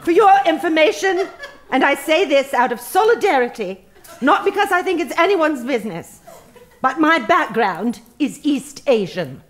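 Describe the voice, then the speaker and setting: An actress playing a smug, self-righteous human resources head in a satirical audio comedy, speaking earnest, patronizing corporate jargon. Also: female voice, sits high, very animated, normal speed. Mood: defensive, indignant.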